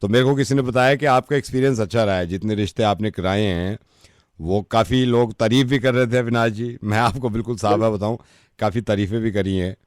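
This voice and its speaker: A man playing a polite, worried middle-aged father on a prank call, courteous, measured and confiding. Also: fairly low pitch, clear, resonant tone, dry recording, clean studio sound, close to an everyday voice. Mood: polite, concerned.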